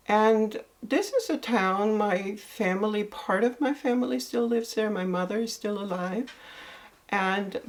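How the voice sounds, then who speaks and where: Brief: older Swedish-accented woman, soft unhurried storyteller, mid-range voice. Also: mid-range voice. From northern Sweden. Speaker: interview guest. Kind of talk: reflective life-story interview.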